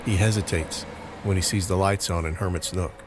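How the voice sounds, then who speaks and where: Deep-voiced male drama narrator. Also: steady tone, a little fast, background noise. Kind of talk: scripted audio drama narration.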